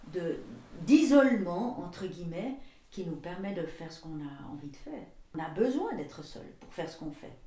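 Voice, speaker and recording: Woman in her 60s, artist talking about her work, slow searching phrases that trail off, middle voice, a bit of room echo. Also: mid-range voice. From Belgium. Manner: thoughtful, searching for words, often trailing off softly. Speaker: visual artist and printmaker. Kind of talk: reflective documentary portrait interview.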